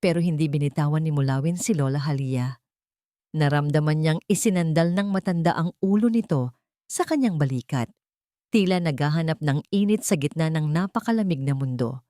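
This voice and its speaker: A woman narrating a scripted audio drama in a steady, dramatic storytelling manner. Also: sits low, fairly quick, dry recording, studio quality.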